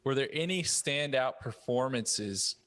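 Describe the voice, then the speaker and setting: A man's voice: medium pitch, animated, measured pace, a bit bright. Reverberant man hosting a discussion. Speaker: podcast host posing questions. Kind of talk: casual roundtable moderating.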